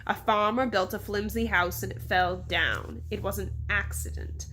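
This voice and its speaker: A woman voicing a pompous, rude male mayor with a British accent and a drawn-out, condescending delivery in a story. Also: medium pitch, fairly even intonation, a bit bright, faint music behind, natural voice.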